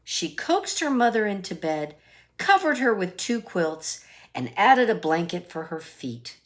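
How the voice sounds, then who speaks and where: A woman reading a children's picture book aloud expressively, deliberate and emphatic, with asides to listeners. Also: mid-range voice, very animated. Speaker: read-aloud storyteller.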